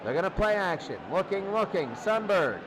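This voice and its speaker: A man's voice: high voice, big pitch swings, normal speed. A man doing informal live play-by-play football commentary, with muttered asides to himself. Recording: clearly noisy game broadcast.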